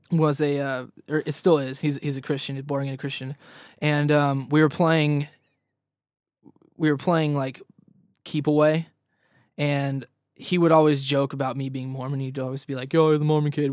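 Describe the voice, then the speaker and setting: Rambling young man, higher voice, dry sound. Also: level tone, normal speed, no echo. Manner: rambling, repeats words, laughs often. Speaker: guest musician. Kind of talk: casual interview chat.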